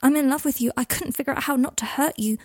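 A woman's voice: high voice, fast talker, darkish tone, no room sound, clean studio sound, pitched above the performer's own voice, close to an everyday voice. A woman voicing a remorseful, desperate young man who apologizes earnestly and stumbles over his words.

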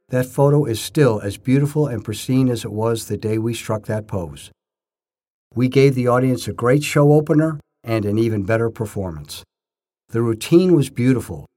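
Husky man reading memoir with lively pitch. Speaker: narrator telling his own memoir stories. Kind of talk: scripted first-person story narration.

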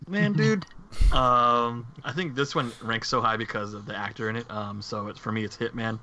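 A man's voice: medium pitch, normal speed, pushed and bright. A man co-hosting a podcast and chatting casually about movies, on a lo-fi recording. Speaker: podcast co-host. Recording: muffled lo-fi track with background noise.

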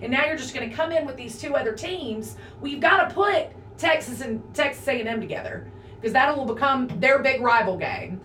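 A woman in her forties argues her point in long, emphatic runs during a casual sports chat. Her voice sits a little high. She sounds slightly off-mic in a roomy space with a faint hiss underneath. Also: expressive, brisk, a bit bright. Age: 40s. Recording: roomy echo, a bit far from the mic, faint noise.

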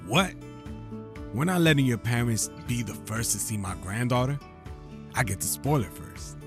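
A man's voice: mid-range voice, expressive, music in the background, lightly acted. A man playing a proud older grandfather in an audio drama, fatherly and earnest as he speaks. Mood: excited, playful. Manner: earnest, fatherly, measured advice. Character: proud older grandfather, warm-hearted, advice-giving father.

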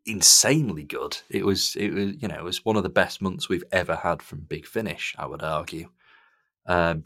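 A man's voice: low voice, fairly bright tone, no echo, studio quality. A man hosting a podcast, chatting casually and enthusiastically as he gives his reviews. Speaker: podcast host.

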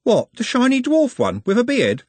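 A man's voice: very high-pitched, wildly swooping pitch, normal speed, a little mellow, no echo, pitched above the performer's own voice, exaggerated voice. A man voicing a naive, timid young woman in a storybook, hesitant and simply spoken. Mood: nervous, naive.

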